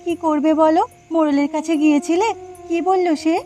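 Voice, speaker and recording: Woman playing a high-voiced, devoted village wife. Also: steady tone, brisk, mellow, a little hiss or hum. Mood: reassuring. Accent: West Bengal. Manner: earnest, emphatic, reassuring. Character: supportive, firm poor village wife and mother. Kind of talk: cartoon voice acting.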